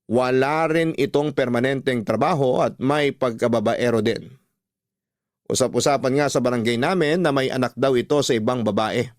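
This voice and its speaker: An actor playing a reflective man who recounts his family story in a measured, confiding way for a radio drama. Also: medium pitch, no room sound, studio quality, natural voice. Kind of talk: scripted first-person storytelling for radio drama.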